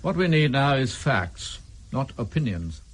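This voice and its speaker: An actor playing an authoritative, exasperated British intelligence chief, clipped and formal in a radio drama. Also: male voice, mid-range voice, big pitch swings, a little slow, slightly rough. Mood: brisk, commanding.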